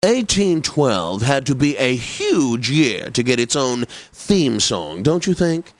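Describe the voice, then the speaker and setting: An actor playing a pompous, genteel classical radio DJ in a video game, announcing in a precise and theatrically obliging manner. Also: male voice, mid-range voice, big pitch swings, slow, no echo. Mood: wry, chatty. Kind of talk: scripted radio continuity announcing.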